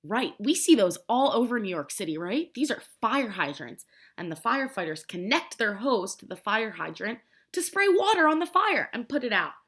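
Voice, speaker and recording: An American woman, a preschool teacher, reading a picture book aloud in simple, expressive language and prompting young children with questions. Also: fairly high pitch, normal speed.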